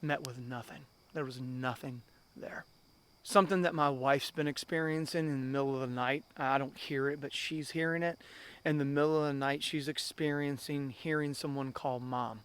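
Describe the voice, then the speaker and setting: A man with young children telling ghost stories to camera in a casual, rambling way, often repeating himself and calling viewers guys, a loud talker by his own account. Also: mid-range voice, fairly even intonation, normal speed, clear, resonant tone. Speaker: channel host.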